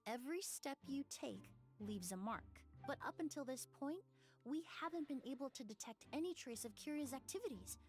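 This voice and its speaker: A woman voicing a composed, analytical female investigator in a video game, measured and precise, explaining things. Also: sits high, lively intonation, a little mellow, soft background music. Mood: calm, explanatory. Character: composed, analytical woman, a forensic perfumer sleuth. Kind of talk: scripted video game voice acting.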